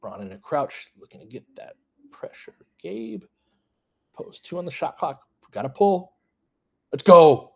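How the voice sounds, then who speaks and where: Opinionated man with a slightly high voice. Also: sing-song, measured pace, gravelly, a little mellow, slightly rough. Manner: conversational, opinionated, sweary; partisan fan reacting to plays and chat. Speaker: host of a basketball fan live stream; former high school basketball coach. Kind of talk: casual live play-by-play with fan chat.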